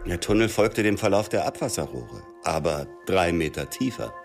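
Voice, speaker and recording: An actor playing a matter-of-fact insider in a radio drama, explaining things in a precise, factual way. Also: male voice, deep voice, lively intonation, moderate pace, over music. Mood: factual, composed. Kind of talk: scripted radio drama account.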